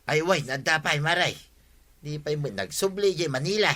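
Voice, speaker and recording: A man's voice: fairly high pitch, lively intonation, moderate pace, sharp tone. A man playing a grumbling, put-upon village husband in an Ilocano radio comedy drama, with an Ilocano accent. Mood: pleading.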